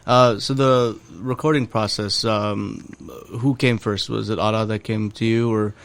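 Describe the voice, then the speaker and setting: A man with a somewhat low voice asks interview questions. He is relaxed and a little hesitant, with plenty of ums along the way. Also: a little slow, clear, resonant tone. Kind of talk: casual interview.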